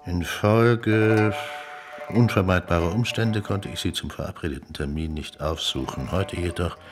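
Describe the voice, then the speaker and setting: An actor playing a cool, shrewd magistrate in a historical radio play, drily ironic and persuasive. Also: male voice, deep voice, unhurried, faint music behind. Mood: reading aloud. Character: shrewd male Chinese magistrate bargaining undercover, cool and commanding.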